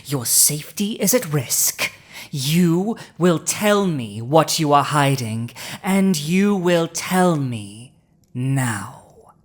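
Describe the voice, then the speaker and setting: A woman plays a commanding female dragon in an intimate audio drama. The voice is very low for a woman and unhurried, with weighty pauses that give each phrase a dramatic, imposing gravity. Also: heavily acted. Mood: stern, demanding. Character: villainous commanding female dragon, intense yet softening to compassion. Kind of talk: dramatic ASMR audio roleplay.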